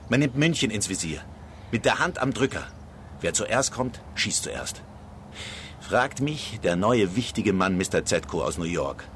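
An actor in a German radio drama playing a brash, cynical screenwriter who reports in clipped phrases. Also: male voice, medium pitch, a bit bright, slight background noise.

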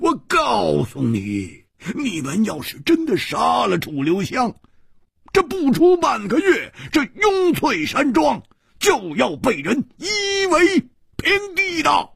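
A man voicing a hot-headed martial arts hero in an audiobook, bellowing strained, drawn-out threats in fury. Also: very high-pitched, wildly swooping pitch, creaky, fairly bright tone, slightly rough, dry recording, clean studio sound, voice pushed up, full character voice. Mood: furious threatening.

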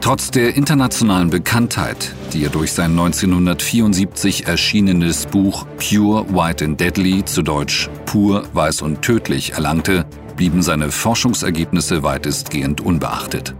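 Deep guy narrating like a documentary, formal and measured, music playing behind. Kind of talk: scripted documentary narration in an audio drama.

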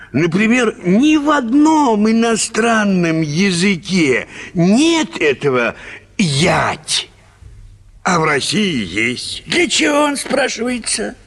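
A man's voice: a little high, a bit bright, exaggerated voice. An actor in a radio play voicing a grumbling, opinionated provincial man who rants with indignant emphasis. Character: grumbling, opinionated provincial man railing against punctuation and spelling. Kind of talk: theatrical radio play acting of a classic short story.

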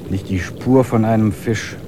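Guy doing a deep stubborn trekker in a radio play, water noise behind. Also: fairly even intonation, a little slow, natural voice. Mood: determined. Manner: determined, earnest. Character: stubborn determined male expedition member. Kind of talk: radio drama.

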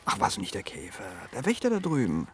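Casting: guarded park worker, radio play, hushed and hesitant delivery, animated intonation, light outdoor ambience. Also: male voice, medium pitch, a little fast, slight background noise. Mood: watchful. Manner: hushed, hesitant, partly whispered. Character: wary male worker in a futuristic animal park. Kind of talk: German science-fiction radio play acting.

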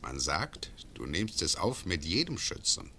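An actor playing a cruel imperial bailiff in a classic radio play, cold, mocking and imperious. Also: male voice, sits low, very animated, a little slow, sharp tone, slight background noise, heavily acted. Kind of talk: classic radio drama acting.

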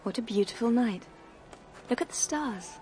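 A woman's voice: on the high side, animated, normal speed, darkish tone, soft background music, natural voice. An actress playing a sweet, artless and genteel young society woman in a radio drama. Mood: shy, friendly. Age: young adult. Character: sweet, girlish, wistful young society beauty.

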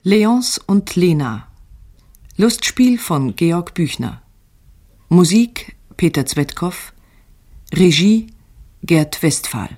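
A woman's voice: on the low side, sing-song, drawn-out words, long pauses, a little mellow. A woman announcer formally reading radio drama credits.

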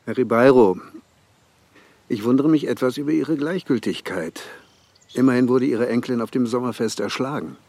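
A man's voice: medium pitch, moderate pace, darkish tone, no echo, lightly acted. A man playing a wry, needling police detective who also coaches football, in a radio crime drama. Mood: sardonic. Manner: sardonic, probing.

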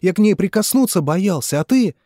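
A man voicing an agitated young male physicist defending the woman he loves, in a husky voice, in heated outbursts. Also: medium pitch, very animated, normal speed, dark tone, no room sound, clean studio sound.

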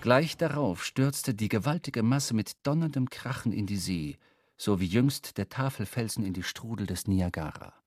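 A man narrating a literary radio drama as a storyteller, expressive and dramatic. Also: lowish voice, moderate pace, no echo, clean studio sound. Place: dry studio.

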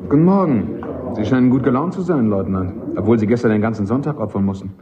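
A man's voice: mid-range voice, lively intonation, fast, close to an everyday voice. A man playing a courteous, guarded army captain and defence counsel in a German radio play, polite and restrained with a wry edge. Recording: muffled radio drama recording with clear background noise.

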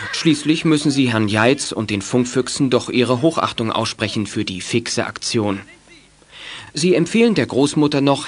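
A man's voice: medium pitch, natural voice. A man narrating a children's detective radio play as a scripted storyteller.

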